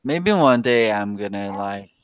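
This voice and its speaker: A man from Quebec whose first language is French, speaking English with a Québécois accent in a casual voice chat, halting and searching for words, laughing often. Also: on the low side, expressive, clear, resonant tone, no echo. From Quebec, Canada.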